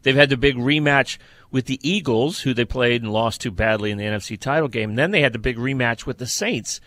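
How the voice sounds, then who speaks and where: A man's voice: mid-range voice, a little fast, fairly bright tone, no echo. A man co-hosting a sports podcast, talking football in a casual, conversational way.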